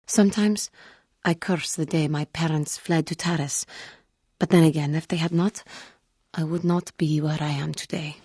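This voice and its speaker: A woman playing a wounded, earnest female alien Jedi in a video game, speaking in formal, measured phrases. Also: sits low, steady tone, warm tone, breathy edge, no echo, clean studio sound. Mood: bitter, pained. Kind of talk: scripted video game character dialogue.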